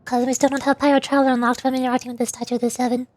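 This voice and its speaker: A woman's voice: high voice, little pitch movement, very fast, a little mellow, soft background music. A woman streamer giving chatty, rambling, casual commentary on a game. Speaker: streamer, VTuber.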